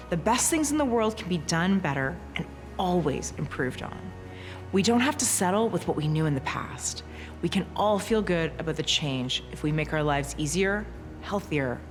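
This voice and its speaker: A woman narrating a commercial in a polished, scripted voice-over. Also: sits low. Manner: polished, smooth delivery. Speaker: advertisement narrator. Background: soft music.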